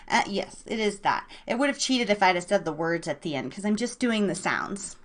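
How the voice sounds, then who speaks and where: A woman with an excitable, informal manner, chatting casually as the live host of a guessing game and cheering on players in slangy language. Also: mid-range voice, normal speed. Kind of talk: casual live radio game-show chat.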